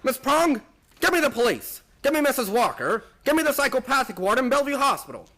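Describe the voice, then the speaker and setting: A man's voice: extremely high pitch, very animated, brisk, bright, forceful tone, slight background noise, heavily acted. Man acting a fussy, swooping, pompous psychologist. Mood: hysterical, panicky. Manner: flustered, officious. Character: fussy, pompous, panicky male store psychologist, cowardly. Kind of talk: stage-style radio play acting.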